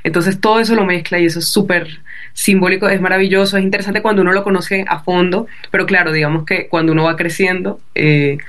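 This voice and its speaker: A woman's voice: lowish voice, fairly even intonation, fast talker, fairly bright tone. A woman with a Caribbean Colombian accent, talking casually as an interview guest, explaining things discursively with frequent tag questions. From Barranquilla, Caribbean coast of Colombia. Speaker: guest novelist.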